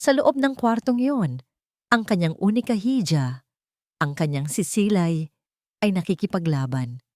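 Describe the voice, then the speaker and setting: A woman narrating a scripted dramatic story as an audiobook, with solemn, deliberate phrasing. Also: fairly low pitch, expressive, warm tone, no echo, studio quality.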